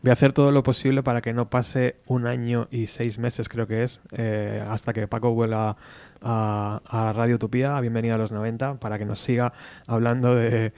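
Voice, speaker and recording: A man's voice: medium pitch, flat delivery, normal speed, a little mellow, clean tone, no room sound. Man hosting a small radio show. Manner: affectionate, encouraging. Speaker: radio host. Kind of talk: casual radio interview.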